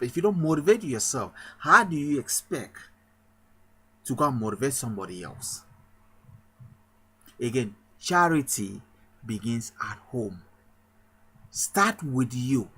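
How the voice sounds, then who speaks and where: A man's voice: medium pitch, very animated, unhurried, pauses often, a bit bright, breathy edge. A man from Cameroon, a pastor, giving an emphatic motivational sermon with a repetitive rallying cadence.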